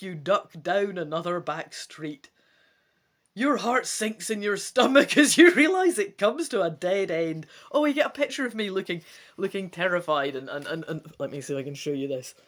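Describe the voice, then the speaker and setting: A woman with a medium-pitched voice that swings widely up and down. She presents a gamebook playthrough, moving between dramatic, theatrical narration and wry, casual commentary. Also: sing-song, moderate pace. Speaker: gamebook let's play presenter. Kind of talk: dramatic story reading with chatty asides.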